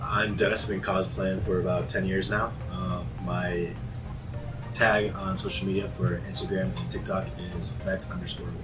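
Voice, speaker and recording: A man's voice: low voice, flat delivery, moderate pace, music in the background, low-quality recording. A man chatting casually as a panelist, speaking in a somewhat hesitant way. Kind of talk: casual chat.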